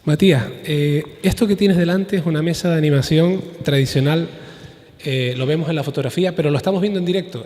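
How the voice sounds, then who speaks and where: A man's voice: fairly high pitch, a little mellow. A man with a Canarian Spanish accent moderates a live talk, explaining things informally for the audience. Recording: echoey hall.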